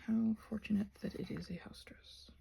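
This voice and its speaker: A woman chatting casually and playfully while crafting, often interrupting herself with trailing asides, her voice breathy and often dropping to a near-whisper. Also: mid-range voice, fairly even intonation, moderate pace. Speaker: craft and ASMR video creator. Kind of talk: casual rambling vlog chat while crafting.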